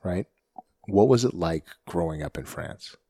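A man's voice: low voice, lively intonation, measured pace, mellow, husky, no echo, clean studio sound. A man hosting a podcast interview, encouraging his guest and often checking with "right?". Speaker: podcast host, firefighter. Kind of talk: casual interview chat.

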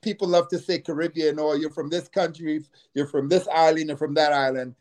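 A man's voice: fairly high pitch, fairly even intonation, brisk, darkish tone. A middle-aged man from Trinidad, a guest on an interview show, telling his life story in an easygoing way and often filling with you know.

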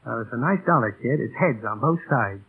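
A narrow, muffled old radio broadcast carries a tough flying boss. He is husky and curt, with swooping pitch and a teasing, gruffly fond edge in classic 1930s radio drama style. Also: expressive, fairly quick. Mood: amused, teasing. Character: tough, brusque male mail pilot boss, gruffly affectionate.

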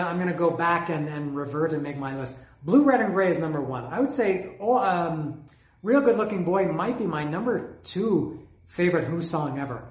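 A man with a slightly high voice talks loosely about his album collection, stumbling and repeating himself as he goes. His voice is wearing thin, and the room around him rings with echo. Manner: rambling, hesitant, repeats words. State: losing his voice. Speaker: music collector reviewing albums. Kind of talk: casual solo talk about his collection.

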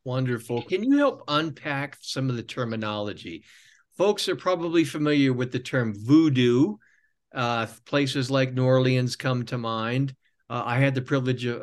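A man hosting a podcast interview, setting up his questions in a long, rambling, self-correcting way. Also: medium pitch. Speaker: podcast host.

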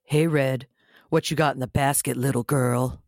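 A woman's voice: rumbling low, steady tone, moderate pace, darkish tone, dry recording, clean studio sound. A woman reading the part of a joking young man who is eager to seem cool, in a table read. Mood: mock-suave. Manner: wisecracking, mock-suave.

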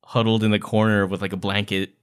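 A man talks as a podcast co-host in a relaxed book discussion. His voice sits a bit low and sounds clean and resonant. The delivery is casual and conversational. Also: fast talker, clean tone.

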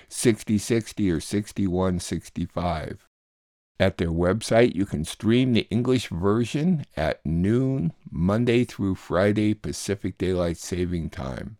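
A man presents a radio program, reading scripted announcements. His voice is on the low side, and the sound is very dry, with no room echo. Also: darkish tone. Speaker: radio show host.

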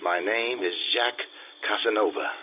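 Tinny old-radio actor as vain aging Casanova. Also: male voice, on the low side, normal speed, a little hiss or hum. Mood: boastful seductive. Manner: florid, theatrical, self-admiring. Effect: old-time radio filter. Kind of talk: old-time radio drama acting. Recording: tinny vintage radio sound with music.

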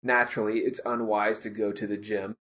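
Joking podcast man, low voice. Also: level tone, brisk, a bit bright. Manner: joking, anecdotal storyteller. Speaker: podcast co-host. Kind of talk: casual chat.

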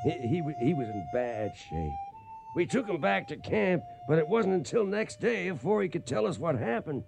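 An actor playing an old trail hand reminiscing in a folksy way, as narrator of a western radio drama. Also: male voice, sits high, huge pitch swings, normal speed, creaky, darkish tone, soft background music, heavily acted. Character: old trail hand recalling a cattle drive.

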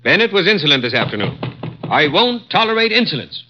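A cold, petty executive in a 1950s radio play. His words are curt and imperious, with sharp swings in pitch. The sound is dull and lo-fi. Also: very animated, fairly quick, slight background noise. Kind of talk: 1950s radio drama acting.

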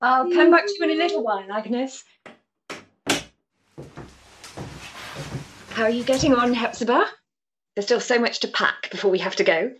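A woman playing a forthright, compassionate gentlewoman in a period drama, speaking in a direct, firm manner. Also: a little high, fast talker, background noise, close to an everyday voice. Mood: brisk. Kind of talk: period audio drama acting.

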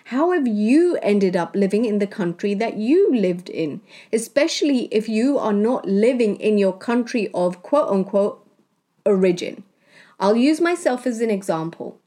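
A woman from Kenya, a spiritual healer, talking in a slow, deliberate monologue that she punctuates with "right?". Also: mid-range voice, animated, mellow. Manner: slow, deliberate, with tag questions. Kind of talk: reflective monologue.

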